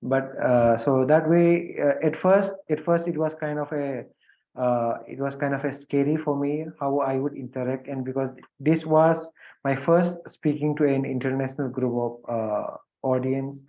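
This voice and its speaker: A man's voice: medium pitch, fairly even intonation, normal speed. A man from India with an Indian English accent, a guest speaker thanking the audience at the end of an online event, hesitant and full of fillers and restarts. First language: Hindi or a regional language of India. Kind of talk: video conference remarks. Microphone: video call. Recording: phone-like narrow video call audio.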